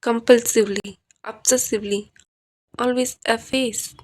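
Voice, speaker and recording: A woman narrating a scripted horror story as a podcast storyteller, with deliberate, dramatic phrasing. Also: on the high side, fairly even intonation, darkish tone.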